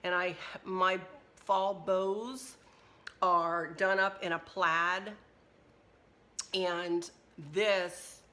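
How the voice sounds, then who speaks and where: A middle-aged woman, chatting casually through a craft shopping haul at home, her voice a little hoarse. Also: on the low side, fairly even intonation, drawn-out words, pauses often, sharp tone. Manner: chatty, friendly, rambling. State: slightly hoarse, losing her voice. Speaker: craft vlogger. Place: kitchen at home, indoors.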